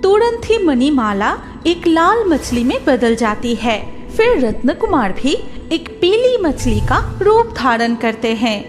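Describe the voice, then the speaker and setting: High, animated woman storyteller, slow paced. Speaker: narrator. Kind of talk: scripted fairy-tale cartoon narration. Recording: faint music behind the voice.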